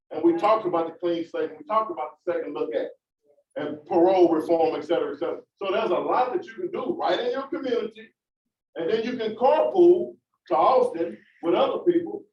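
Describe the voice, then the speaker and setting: A man speaks out with fervent, repetitive emphasis, his voice pitched high. He is caught from a distance in a roomy hall on a dull, muffled recording, with a faint hiss behind him. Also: a little mellow. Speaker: formerly incarcerated community speaker. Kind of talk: impassioned panel remarks. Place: large meeting room.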